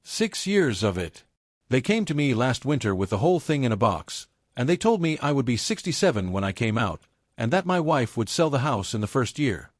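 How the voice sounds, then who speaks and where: A man voicing a tidy, resigned lawyer of fifty-five who betrayed a crime family, speaking in a measured, deliberate way. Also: medium pitch, sing-song, dry recording, clean studio sound. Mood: bitter confession. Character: tidy fifty-five-year-old male mafia lawyer, resigned, bitter traitor.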